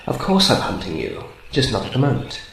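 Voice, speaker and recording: Radio-drama villain: taunting clawed robot, acted by a man, animated and needling, recorded in a roomy space with faint noise. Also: mid-range voice, brisk, creaky. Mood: mocking. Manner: gloating, mock-sweet needling. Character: sadistic, taunting male robot hunter with claws.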